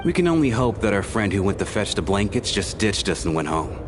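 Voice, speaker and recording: Teasing regular guy, lowish voice, animated, wry, video game, noisy backdrop. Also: fairly quick.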